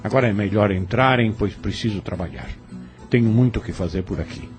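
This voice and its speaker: Actor as low-voiced, curt plantation overseer. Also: male voice, a little fast, lightly acted. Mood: curt, businesslike. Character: curt, busy plantation overseer, a grown man. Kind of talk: audio drama acting. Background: music.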